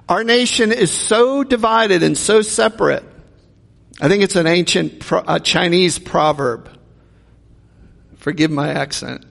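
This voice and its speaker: A man's voice: high voice, gravelly. A man preaching a sermon in a conversational way, asking the congregation rhetorical questions. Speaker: pastor. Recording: long hall reverb.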